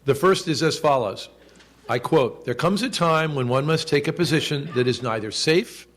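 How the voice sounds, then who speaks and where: A man in his sixties giving a formal commemorative speech, measured, with a loud projecting voice and quotes read with emphasis. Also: on the high side. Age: 60s. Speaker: school official giving a speech. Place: indoor event hall.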